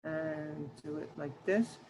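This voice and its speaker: A woman with a loud, projecting voice leads an online workshop, addressing her listeners directly. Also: low voice, slow, slight background noise, poor audio. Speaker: workshop leader and Toastmasters speaker. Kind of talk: workshop presentation to an online group. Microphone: video call.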